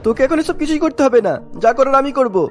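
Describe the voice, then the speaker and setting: A performer voicing excitable villagers in a cartoon, speaking in a hurried, emphatic way. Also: high voice, faint music behind, lightly acted. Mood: scolding. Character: agitated, complaining villagers and village headman. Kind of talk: cartoon character dialogue.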